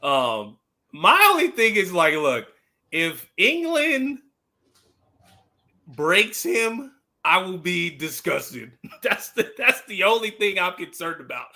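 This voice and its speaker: A man in a casual sports chat, talking as a fan panelist in an animated, slangy way with emphatic repetition. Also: high voice, slow pace. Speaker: football fan podcast panelist.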